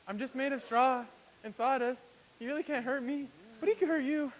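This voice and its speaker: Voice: sits high, moderate pace, in a large hall, natural voice. An actor playing an eager, folksy straw scarecrow in a musical with songs. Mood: protective. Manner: folksy drawl, playful. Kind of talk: musical stage acting with singing.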